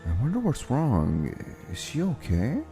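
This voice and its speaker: A man's voice: medium pitch, wildly swooping pitch, unhurried, warm tone. A man playing an anxious, considerate young man in an anime-style romance dub, speaking earnestly and expressively with some hesitation. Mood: curious, concerned. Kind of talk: anime-style dub acting and first-person narration. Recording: music bed behind the voice.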